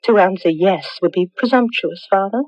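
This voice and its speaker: A woman's voice: medium pitch, normal speed, dry recording, close to an everyday voice. An actress playing a proud, mysterious young village woman in a radio drama, formal and composed. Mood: composed, cryptic. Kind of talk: 1980s radio drama acting. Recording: dull lo-fi radio broadcast recording.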